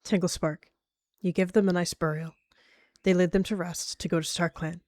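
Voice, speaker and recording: A woman's voice: fairly low pitch, fairly even intonation, normal speed, darkish tone, no room sound, studio quality, natural voice. A woman voicing a composed, pragmatic and dismissive cat clan leader in a dramatic animal roleplay. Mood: firm.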